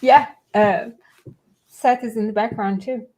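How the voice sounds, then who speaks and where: A woman's voice: fairly high pitch, steady tone, a little slow, mellow. A woman in her thirties co-hosts a casual live stream from a room at home, with a friendly manner. She laughs often while chatting over the video call. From Turkey. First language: Turkish. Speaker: co-host.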